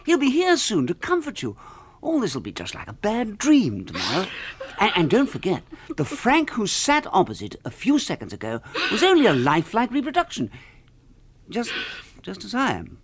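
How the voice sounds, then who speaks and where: A gentle British husband in a science-fiction radio play. The voice is husky and swings very widely in pitch. He sounds earnest and a little hesitant. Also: wildly swooping pitch, moderate pace, exaggerated voice. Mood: soothing. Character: earnest, gentle husband, a doomed lifelike duplicate. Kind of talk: radio drama acting.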